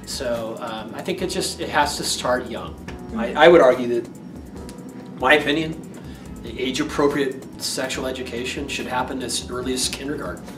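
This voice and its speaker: Man, middle-aged teacher, animated, echoey room, far mic, music behind. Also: mid-range voice, normal speed. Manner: animated, arguing a point. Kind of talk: interview opinion. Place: classroom office. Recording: roomy distant sound with music under.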